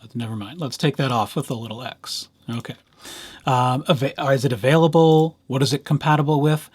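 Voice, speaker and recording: A man presenting a casual software tutorial as a host, with playful, dryly humorous asides. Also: mid-range voice, brisk, husky.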